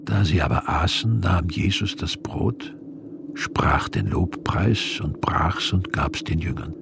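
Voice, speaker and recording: An actor playing a solemn gospel narrator in a German radio drama, telling scripture in a grave, measured way. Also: male voice, deep voice, vocal fry, over music, full character voice.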